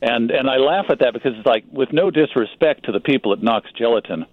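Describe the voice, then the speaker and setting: A man giving informative, measured answers as a guest in a radio interview. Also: mid-range voice, dry recording, narrow, tinny audio. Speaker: magazine publisher, radio history guest.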